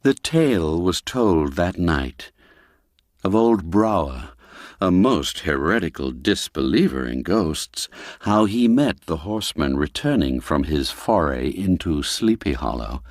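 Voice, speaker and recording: Male audiobook narrator for old folk mystery: low register, very animated intonation, theatrical and measured. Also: no room sound, studio quality. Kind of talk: dramatic scripted narration of a classic folk mystery tale.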